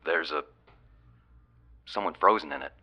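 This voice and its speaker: A man playing a gruff, wisecracking police lieutenant leading a search in an audio drama. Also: a bass voice, measured pace, muffled recording, full character voice. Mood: stunned. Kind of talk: radio-call audio drama acting.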